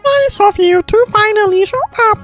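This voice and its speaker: A man plays a tiny, proud lady scholar from a game, with an extremely high, childlike voice. She scolds briskly and orders the young ones around like a senior. Light music sits behind her. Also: fairly bright tone. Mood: scolding. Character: small bossy senior female scholar, proud. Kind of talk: game character voice acting.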